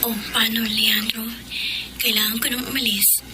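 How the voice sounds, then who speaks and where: An actress playing a tender, sorrowful Filipino woman saying farewell in radio drama style, softly and breathily. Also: fairly high pitch, flat delivery, moderate pace, heavy background noise, natural voice. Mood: tender, resigned.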